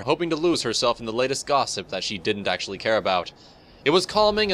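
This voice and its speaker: A man giving an expressive scripted narration of a story, as in an audiobook. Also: medium pitch, a little hiss or hum. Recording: music playing behind the voice.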